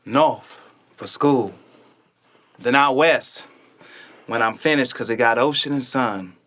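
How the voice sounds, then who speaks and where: Man playing a wary young boy, mid-pitched. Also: slow pace, fairly bright tone. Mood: shyly hopeful. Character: wary, bookish twelve-year-old boy, younger brother. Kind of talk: radio play acting.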